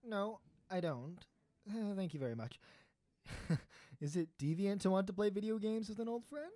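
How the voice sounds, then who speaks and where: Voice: medium pitch, moderate pace, dark tone, dry recording, clean studio sound, natural voice. An actor playing an earnest, hesitant astronaut trying to win back an old friend in an audio drama. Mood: awkward.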